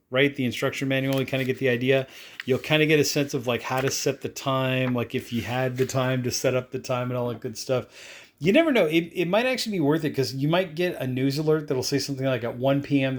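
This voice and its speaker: A man giving a casual, unscripted product demonstration in a rambling, offhand way, often checking in with tag questions and repeating catchphrases. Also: mid-range voice. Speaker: product reviewer.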